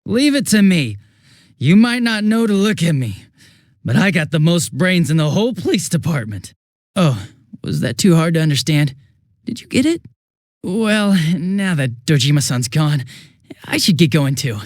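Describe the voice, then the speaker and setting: Goofy young cop from a video game, kinda high voice, really animated, rambly n flustered. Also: male voice, moderate pace, no echo, clean studio sound. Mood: reassuring, joking. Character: goofy, chatty, hapless young male police detective. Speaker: police detective character. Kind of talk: English video game voice acting.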